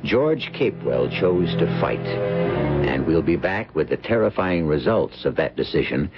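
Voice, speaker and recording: A man's voice: very deep voice, very animated, a little slow. A man hosting an old-time radio drama with portentous, theatrical scripted narration. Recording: muffled lo-fi old radio, music behind.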